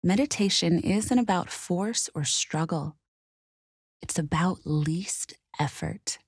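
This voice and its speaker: A woman leading a guided meditation in a calm, gentle, soothing and measured way. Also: fairly low pitch, breathy edge, no echo, studio quality.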